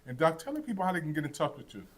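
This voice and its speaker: A man's voice: fairly high pitch, sing-song, rapid-fire, a bit bright. A man interviewing guests for a TV network in casual, enthusiastic chat, effusive and full of exclamations.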